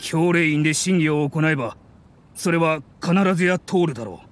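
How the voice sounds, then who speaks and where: Actor as scornful male academic, anime game antagonist, higher-register voice, formal and condescending, faint ambience behind. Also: normal speed, a little hiss or hum, close to an everyday voice. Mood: confident. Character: haughty, scornful male academy scholar accusing a theater.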